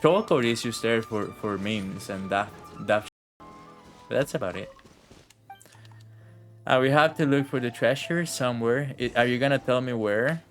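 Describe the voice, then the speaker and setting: A man's voice: mid-range voice, moderate pace, long pauses. A man giving casual live game commentary, rambling to himself and swearing now and then. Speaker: game streamer. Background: faint game music.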